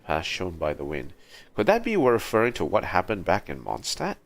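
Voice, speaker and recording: A man's voice: sits low, wildly swooping pitch, moderate pace, creaky. A man livestreaming a game with casual, laid-back, musing commentary.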